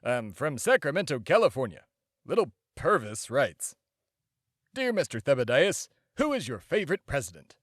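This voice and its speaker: A man's voice: mid-range voice, big pitch swings, normal speed, no echo, clean studio sound. A man playing a bombastic, self-important immortal embodiment of America in a comedy podcast monologue. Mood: smug. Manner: pompous, shouting announcer bursts, rambling asides.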